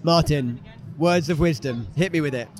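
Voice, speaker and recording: Hoarse, upbeat man hosting. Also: a little high. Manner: enthusiastic, quick to praise. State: slightly hoarse. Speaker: host interviewing exhibitors. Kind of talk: upbeat vox-pop interviewing. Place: business show floor. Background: exhibitors packing up.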